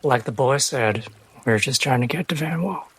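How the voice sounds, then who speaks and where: A man's voice: mid-range voice, normal speed, creaky, fairly bright tone, slight background noise. A man playing a tabletop game, speaking as a player in role-play.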